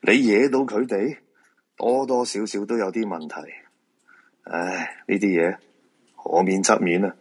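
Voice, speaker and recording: Deep, husky, grumpy Hong Kong feng shui master, acted. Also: male voice, fairly even intonation, measured pace, pauses often. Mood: weary. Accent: Hong Kong Cantonese. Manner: gruff, dismissive, colloquial. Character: weary, grumpy male feng shui master who sees ghosts. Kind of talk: live Cantonese radio drama acting.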